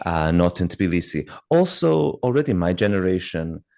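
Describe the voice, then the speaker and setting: A man in his thirties, raised in Hungary with Georgian as his first language, speaking as a guest pianist in a calm, measured, polite way with frequent fillers. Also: sits low, vocal fry. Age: 30s. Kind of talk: interview conversation.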